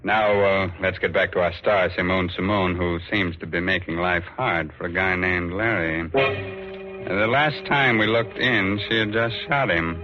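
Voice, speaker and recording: A man's voice: low voice, a little slow. A man hosting a 1940s radio mystery show with a wry, macabre, teasing manner. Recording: old dull radio recording, faint music.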